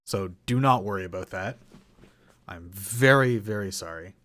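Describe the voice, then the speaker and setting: A man's voice: fairly low pitch, moderate pace, a bit bright. A man hosting a charity gaming marathon, making mock-serious announcements between runs. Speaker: marathon host. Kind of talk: announcing the next segment.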